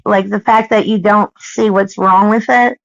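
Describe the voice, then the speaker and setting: An American woman pressing her point on a recorded phone call, insistent and halting, often repeating herself. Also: medium pitch, fairly even intonation, vocal fry, dry recording. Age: adult. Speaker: parent questioning a caseworker. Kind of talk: argumentative phone conversation.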